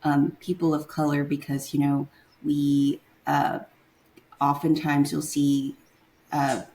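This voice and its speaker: Low-voiced young woman from Taiwan. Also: level tone, slow, mellow, poor audio. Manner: hesitant, searching for words with many fillers. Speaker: guest. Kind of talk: casual interview conversation.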